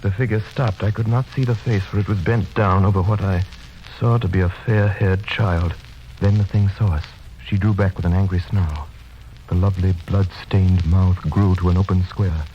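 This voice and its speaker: An actor playing a haunted gentleman doctor, narrating in a formal, dramatic, deliberate 1930s radio drama style. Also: low voice, steady tone, a little hiss or hum, natural voice. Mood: tense, horrified. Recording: dull old radio broadcast.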